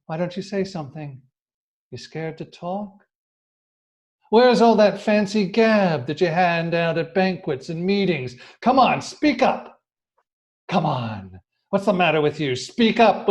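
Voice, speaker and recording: Man acting a high-voiced, slangy gangster killer. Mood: taunting. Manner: slangy street-tough talk, threatening. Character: sneering male escaped-convict killer, rough and cocky. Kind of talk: old-time radio crime acting.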